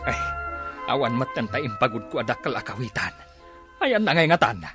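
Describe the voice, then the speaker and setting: Woman acting a low-voiced, excitable grumbling villager. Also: moderate pace, over music. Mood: indignant. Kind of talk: Ilocano radio comedy drama acting.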